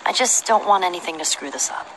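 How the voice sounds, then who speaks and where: A woman's voice: fairly low pitch, expressive, fast, fairly bright tone, slight background noise. A woman voicing a tough, confident young female adventurer in a video game, with a dry, teasing, self-assured manner. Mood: bossy, wry. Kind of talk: animated game dialogue.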